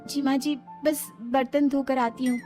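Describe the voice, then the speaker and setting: Young village daughter-in-law played by a woman, gentle, naive, obedient, slightly high, cartoon story with music. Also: level tone, unhurried. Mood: dutiful. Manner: meek, sisterly.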